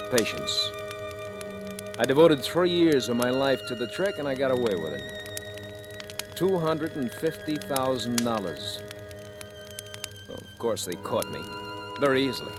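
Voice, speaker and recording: An actor playing a shrewd, cynical convicted embezzler who narrates his crime in deliberate, dramatic 1940s radio thriller style. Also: medium pitch, natural voice. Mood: smug, measured. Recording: old radio drama with music behind.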